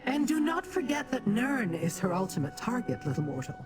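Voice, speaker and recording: Imperious, expressive demon lady. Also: fairly high pitch. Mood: calculating, musing. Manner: imperious, formal, measured wording. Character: haughty, calculating demon lady, condescending to mortals. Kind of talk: fantasy game character acting. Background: game music.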